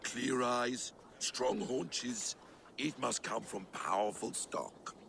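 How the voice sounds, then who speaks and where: A man's voice: mid-range voice, takes it slowly, gravelly, noisy background. A man voicing a scheming trickster god in a video game, with probing, mock-innocent questions. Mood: sly.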